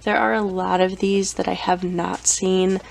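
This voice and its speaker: A woman's voice: lowish voice, flat delivery, measured pace, gravelly. A young woman with a cold, chatting casually as she shows her anime collection, with frequent ums and self-corrections. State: has a cold. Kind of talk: casual show-and-tell vlog.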